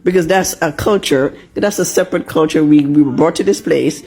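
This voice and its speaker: A man from Grenada with a gravelly, husky voice that sits a little high for a man. He talks in an emphatic, repetitive way and keeps firing rhetorical questions. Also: brisk, darkish tone. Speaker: commenter in a reply clip. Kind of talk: casual reaction video.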